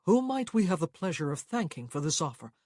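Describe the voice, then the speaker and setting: A man's voice: fairly high pitch, very animated, brisk, darkish tone, no room sound, studio quality. A man voicing a guarded, protective, plain-spoken young man in an audiobook, firm and direct in manner. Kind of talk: audiobook character dialogue.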